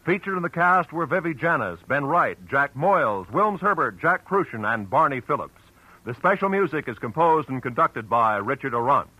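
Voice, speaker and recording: A man's voice: a little high, animated, moderate pace, pushed and bright, breathy edge, no room sound, low-quality recording. A man announcing scripted credits in a formal 1940s radio style. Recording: old radio recording with music.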